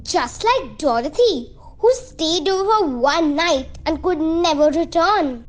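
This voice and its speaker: A woman's voice: very high-pitched, expressive, takes it slowly, heavily acted. A woman playing a bold, fussy mother with a chatty, insistent manner in an amateur radio play. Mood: grave, defiant. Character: Doris, outspoken, fussy, bold village mother.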